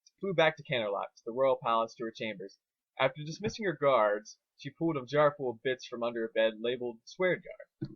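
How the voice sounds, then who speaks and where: A man's voice: medium pitch, expressive, a little fast, no room sound, dull audio. A man giving an amateur dramatic narration of a story.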